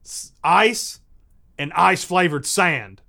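A man voicing a folksy small-town father in a comic story, proud, patriotic and gently instructive. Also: high voice, slow pace, vocal fry, heavily acted. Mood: leading, instructive.

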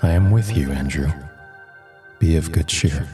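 A man voicing a serene, fatherly divine Lord with measured, solemn authority in an audio drama. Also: very deep voice. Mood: serene, reassuring. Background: prominent music.

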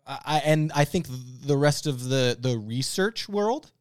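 A man co-hosting a podcast in casual, joking banter, playfully opinionated and animated. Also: medium pitch, measured pace, clear, resonant tone, dry recording, studio quality. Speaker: podcast co-host.

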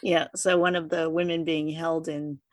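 A woman hosting a casual interview in a halting, chatty way. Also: low-pitched, level tone. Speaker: interviewer, podcast creator.

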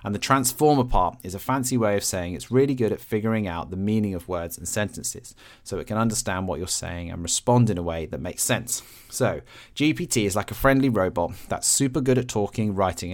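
A man's voice: lowish voice, brisk. A man hosting a podcast, talking casually and explaining things in simple, everyday terms. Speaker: podcast host.

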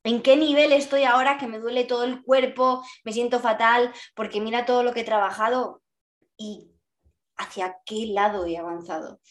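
A woman's voice: a little high, steady tone, normal speed. Chatty Spanish podcast host woman. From Spain. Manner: laughs often, mixes in English words. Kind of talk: casual podcast interview.

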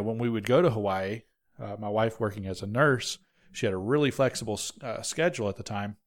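A man in his late twenties from North Georgia hosting a travel podcast in a relaxed, rambling way. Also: on the low side. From North Georgia, USA. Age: 20s. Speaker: podcast host. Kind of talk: casual podcast chat.

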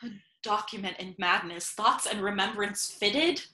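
Casting: fiery bereaved young nobleman, sharp bright voice, roomy echo, classical audio drama. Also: medium pitch, unhurried. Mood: anguished. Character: hot-tempered, grieving young nobleman seeking justice. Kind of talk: classical stage acting for audio.

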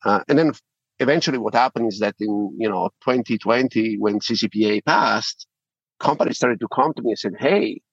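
A man's voice: fairly low pitch, moderate pace, breathy edge, dry recording, lo-fi sound. A middle-aged man with an Italian accent, a podcast guest talking animatedly and often repeating words as he restarts. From Italy. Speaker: podcast guest, company founder. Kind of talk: interview conversation.

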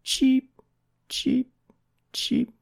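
A storyteller voicing a small male baby chickadee, squeaky and excitable, in a children's story. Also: extremely slow. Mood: timid peeping. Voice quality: squeaky, peeping. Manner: cheeping, whimpering, excitable.

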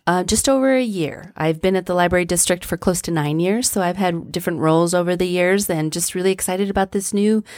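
Woman, lowish voice, friendly explainer, library director, dead-dry sound. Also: fairly quick, few pauses, darkish tone, no room sound. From Colorado, US. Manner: friendly, informative, some fillers. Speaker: library executive director, podcast guest. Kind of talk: casual interview answers.

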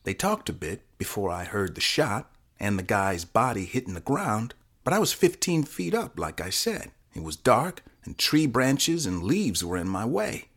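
A man's voice: fairly low pitch, very animated, moderate pace, a bit bright. A man voicing a defiant, streetwise fifteen-year-old boy who talks in insistent slang in an audio crime drama. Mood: defensive.

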